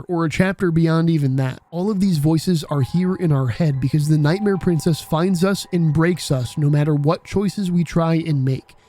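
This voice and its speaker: A man's voice: a little high, dark tone. A man narrating a video essay in a casual, reflective way, with the occasional swear.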